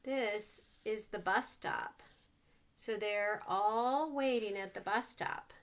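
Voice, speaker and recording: Gentle woman reading a picture book aloud. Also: mid-range voice, slow pace. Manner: gentle, asks the children questions about the pictures. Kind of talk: children's read-aloud.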